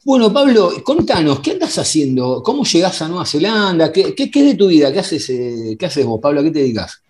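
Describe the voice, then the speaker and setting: A man's voice: a little high, very animated, brisk, a little mellow. A man with a Rioplatense Spanish accent hosting a podcast interview, chatty and hesitant, full of fillers and restarts. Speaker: podcast host. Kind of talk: casual interview chat.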